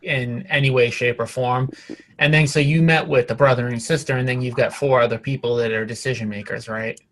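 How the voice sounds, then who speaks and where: A man's voice: mid-range voice, steady tone, brisk, a little hiss or hum. A man leading a casual real estate conference call, asking direct, probing questions as a coach.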